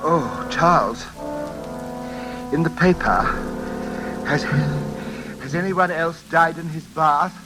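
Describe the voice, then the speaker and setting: An actor playing a nervous, obsessive gentleman in old-time radio suspense, speaking hesitantly and repeating himself. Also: a little high, expressive, takes it slowly, long pauses, over loud music. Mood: panicked, dread. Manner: hesitant, repetitive, stammering. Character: nervous, obsessive gentleman gripped by suspicion and dread. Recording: hissy, crackling old radio broadcast.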